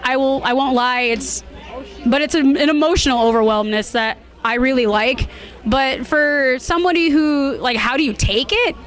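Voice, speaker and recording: A woman giving a casual interview as a cosplayer, with a bubbly, expressive way of talking. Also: high voice, moderate pace, some chatter behind. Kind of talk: casual interview chat at a convention.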